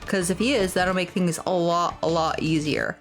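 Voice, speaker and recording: A woman's voice: lowish voice, moderate pace, soft background music. A woman game streamer reading game text aloud and commenting as she plays.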